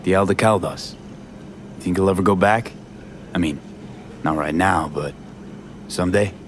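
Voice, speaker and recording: Very deep, terse mercenary man. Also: a little slow, slight background noise. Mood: quiet, reflective. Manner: terse, understated. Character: laconic, hardened male mercenary, former nomad. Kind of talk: video game cutscene acting.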